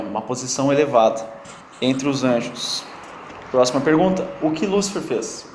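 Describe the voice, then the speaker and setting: A man with a strong non-native accent teaching a Bible study class, quizzing and teasing his students in a lively, joking way. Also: medium pitch, a little hiss or hum. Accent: strong non-native accent in Portuguese. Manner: jokey, quizzes and teases students. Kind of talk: interactive classroom teaching with questions to the group. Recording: echoey room.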